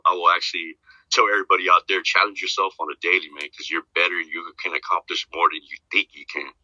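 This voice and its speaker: A man talking casually as a podcast guest, rambling and repeating himself, with a deep voice. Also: pushed and bright, no room sound, muffled recording. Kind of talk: casual chat.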